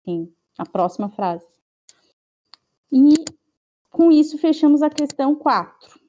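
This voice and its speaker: Brazilian teacher giving an online lesson. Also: female voice, high-pitched, expressive, slow pace, mellow. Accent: Brazilian Portuguese. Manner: explains patiently, checks in with students often. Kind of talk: online class lecture. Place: online class, remote.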